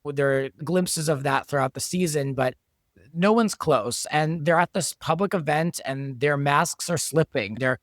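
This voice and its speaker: A man's voice: medium pitch, a bit bright, no room sound. A man talking as a podcast guest and show creator, explaining things conversationally and thinking aloud as he goes. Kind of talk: conversational behind-the-scenes discussion.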